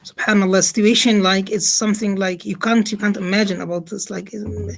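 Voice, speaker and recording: A man's voice: sits high, level tone, a little fast, vocal fry, fairly bright tone, a little hiss or hum. A man interviewing a guest, speaking hesitantly with many fillers, restarts and Arabic religious phrases. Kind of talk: casual interview.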